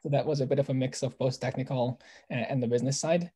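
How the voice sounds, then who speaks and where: A man from Hungary, an alumni panelist on a video call, introducing himself in a measured, slightly hesitant way. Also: mid-range voice, steady tone, mellow, husky.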